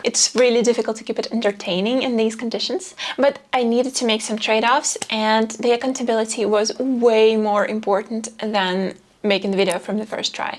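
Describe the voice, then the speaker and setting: A woman talking to camera in a candid, self-deprecating vlog, with a soft, quiet voice. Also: a little high. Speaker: vlogger. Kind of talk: reflective vlog talk to camera.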